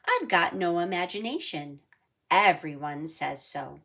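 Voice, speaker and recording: A woman voicing a small, earnest, doubting young cricket in a storybook, with expressive exclamations. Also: fairly low pitch, measured pace, exaggerated voice. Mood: self-doubting.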